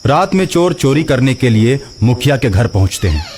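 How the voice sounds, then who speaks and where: A man's voice: medium pitch, sing-song, normal speed, a little mellow, a little hiss or hum. A man narrating a scripted moral story as a storyteller.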